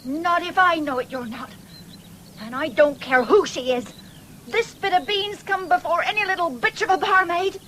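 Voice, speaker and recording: An actress playing a stubborn, hard-working country woman, sharp-tongued, in a radio drama. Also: very high-pitched, moderate pace. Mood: angry, determined. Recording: hissy 1970s radio drama recording.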